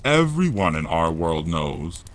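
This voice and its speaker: A man playing a big, gruff, kindly, emotional giant of a man in an audio drama, speaking bluntly in rustic dialect with exclamations. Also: low-pitched, very animated, a little slow, pitched below the performer's own voice. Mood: anxious, reluctant.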